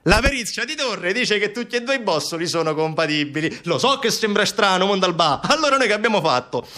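Actor as sarcastic official, higher-pitched. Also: male voice, a little fast, full character voice. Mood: exasperated, defensive. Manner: colloquial, sarcastic, animated rhetorical asides. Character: evasive southern Italian official mockingly defending dubious forensic experts. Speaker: stage actor. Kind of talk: theatrical satirical monologue, one side of a conversation.

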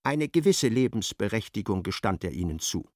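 A man narrating a literary radio drama in a measured, articulate, storytelling way. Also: lowish voice, sing-song, a little mellow, no echo, studio quality.

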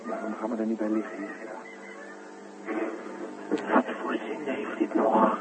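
An actor playing an exhausted, tormented astronaut in a radio drama, halting and groaning, swinging between defiance and pleading. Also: male voice, lowish voice, fairly even intonation, creaky. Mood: weary, bitter. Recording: echoey distant dull radio recording, loud music.

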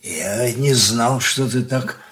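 Slow-spoken, weary old father. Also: medium pitch, a bit bright. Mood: bewildered, sad. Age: older. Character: stern old merchant father, weary and bewildered. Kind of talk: Soviet radio play acting.

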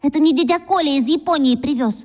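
A woman acts out a boastful, gushing young schoolgirl for a children's radio drama. The voice is extremely high and childlike, ringing in a long echo. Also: fast, darkish tone, natural voice. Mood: proud, eager. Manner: gushing, showing off. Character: excitable, boastful little red-haired schoolgirl. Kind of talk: children's radio play acting.

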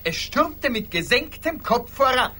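An indignant man at a café in a radio drama. His voice is bright and forceful, swinging animatedly as he argues in a combative, emphatic way. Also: very high voice, big pitch swings, unhurried, full character voice. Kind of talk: 1980s German radio play acting.

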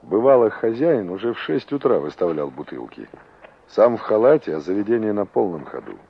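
An actor playing a wistful young engineer in an old Soviet radio play, musing to himself and polite with strangers. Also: male voice, on the low side, big pitch swings, brisk, creaky, husky, heavily acted. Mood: nostalgic, musing. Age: young adult. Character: young male engineer revisiting a remembered village, wistful. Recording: noisy, dull old radio play recording.

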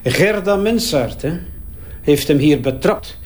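Flemish forensic investigator in a crime drama: chatty, wry, fairly high voice, bantering while he works. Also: expressive, takes it slowly. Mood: sardonic, amused. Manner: wry, bantering, hesitating as he examines. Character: talkative, sardonic male crime-scene forensic investigator. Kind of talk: naturalistic audio crime drama acting.

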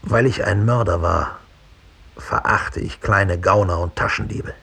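An actor playing a proud, cold former Nazi killer in a 1960s German radio drama, confessing with deliberate disdain in a gravelly, husky voice. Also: male voice, low voice, fairly bright tone, a little hiss or hum, heavily acted. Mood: proud contempt.

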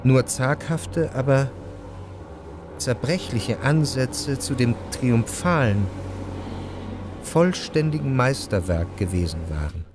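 An actor playing a reflective, refined writer narrating his memories in a measured, literary radio drama manner. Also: mid-range voice, animated, noisy background. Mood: contemplative. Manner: measured, contemplative. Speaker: first-person narrator. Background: music behind the voice.

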